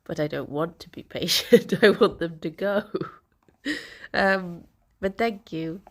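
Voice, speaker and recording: A young woman streamer with a congested, bunged-up voice, chatting softly with viewers and laughing often. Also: on the low side, slow. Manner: soft, chatty, laughs often, greets chat by name. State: congested, stuffy nose. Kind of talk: casual morning chat with viewers.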